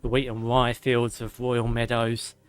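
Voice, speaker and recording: A man streaming a game, reading lore aloud with sarcastic asides, his voice going hoarse and a little out of breath. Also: medium pitch, completely flat delivery, unhurried. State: slightly out of breath. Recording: faint music behind.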